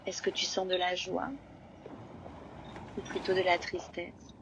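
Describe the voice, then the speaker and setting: Female yoga nidra guide, low-leaning flat intonation, slow and spacious phrasing, prominent surf noise. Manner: slow, unhurried, long pauses between phrases. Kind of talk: guided relaxation meditation. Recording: loud steady ocean-wave noise behind voice. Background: sound of sea waves.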